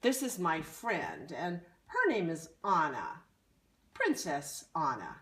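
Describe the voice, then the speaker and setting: A woman doing a flustered young man, pitched lower than her own voice, stammering his words and then pushing back firmly. he sounds worn thin, trying to calm a crowd of over-eager friends. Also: slow, reverberant, heavily acted. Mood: apologetic. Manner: stammering, correcting others firmly.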